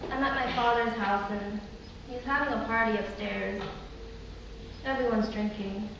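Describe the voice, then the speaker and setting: A woman's voice: sits high, a bit bright. An actress playing a woman reliving painful girlhood memories in an earnest, childlike stage monologue, recorded in an echoey hall. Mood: uneasy, subdued. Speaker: stage performer. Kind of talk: theatrical monologue. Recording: echoey hall, distant mic, faint noise.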